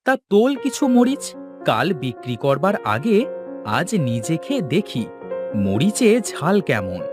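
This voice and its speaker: Man acting a slow-talking, animated village farmer father. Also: a little high. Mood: pleased, fatherly. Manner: theatrical, exclamatory. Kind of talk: Bengali cartoon dubbing. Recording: music behind the voice.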